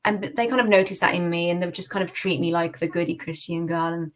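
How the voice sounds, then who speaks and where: A woman's voice: lowish voice, steady tone, fast. A young British woman telling her personal story candidly as an interview guest, in an informal, reflective way peppered with "like" and "you know". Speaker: podcast guest giving testimony.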